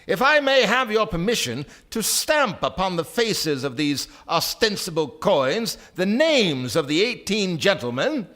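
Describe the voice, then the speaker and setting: A man playing a bright, oratorical gentleman speculator. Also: high voice, big pitch swings, measured pace, few pauses, exaggerated voice. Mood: oratorical, persuasive. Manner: formal, oratorical. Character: sly, self-assured gentleman stranger, a speculator in rarities. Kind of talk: stage radio drama acting.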